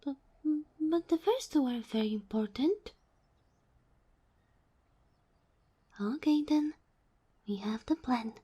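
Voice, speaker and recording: A woman voicing a sweet, polite kitsune familiar in an ASMR roleplay, soft and whispery, with formal, bashful phrasing. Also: high-pitched, expressive, a little slow, slightly rough, heavily acted. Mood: playfully coy. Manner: formal, courteous, slightly bashful. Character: sweet, polite kitsune fox-girl familiar, female, ageless.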